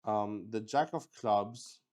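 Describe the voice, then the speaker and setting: A man improvising narration for a solo tabletop roleplaying game as its host, halting as he thinks aloud. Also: fairly low pitch, darkish tone.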